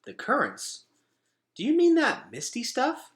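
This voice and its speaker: A man's voice: very high voice, huge pitch swings, measured pace, fairly bright tone, voice pushed up, heavily acted. A man voicing a wary, awkward boy in a storybook, blurting out blunt and hesitant lines. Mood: alarmed curiosity. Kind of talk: acted storybook dialogue.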